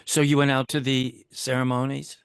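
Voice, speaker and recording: A man's voice: mid-range voice, flat delivery, moderate pace, creaky, smooth, no room sound, clean studio sound. A man interviewing a guest over a video call, asking brief, friendly questions. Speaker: interviewer and producer.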